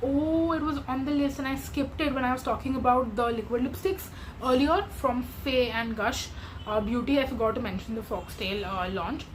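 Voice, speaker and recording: A woman's voice: high voice, normal speed, poor audio. A young woman reviewing beauty launches in casual, rambling chat, full of fillers and self-corrections, her voice wearing out. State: losing her voice, tiring. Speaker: beauty vlogger. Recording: steady background noise.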